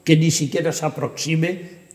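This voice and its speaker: An elderly man in his seventies talks as a podcast guest in a high-pitched voice. He explains things with emphatic, expressive storytelling. The room adds clear echo and a long reverb. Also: normal speed. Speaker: podcast guest, translator and editor. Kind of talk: animated interview conversation. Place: indoor book-lined room. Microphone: lapel.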